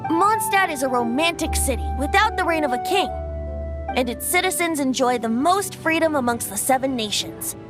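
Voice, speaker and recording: A youthful boy bard from a video game, high and very melodic in intonation. He sounds playful, poetic and free-spirited, over a clear bed of music. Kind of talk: animated video game voice acting. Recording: music behind the voice.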